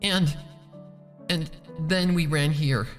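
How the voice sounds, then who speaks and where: A man's voice: on the high side, takes it slowly, long reverb tail, faint music behind, voice pushed up. A man voicing a frightened young female pony who stammers, in a dramatic story reading. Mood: shaken. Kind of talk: dramatic fan fiction reading.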